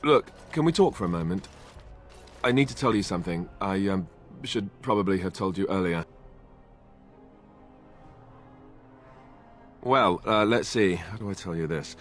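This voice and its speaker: An actor playing an awkward, earnest young warrior in a fantasy video game, speaking in a hesitant, self-effacing, conversational way. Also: male voice, deep voice, brisk, faint music behind, close to an everyday voice. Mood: nervous, awkward. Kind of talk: video game cutscene acting.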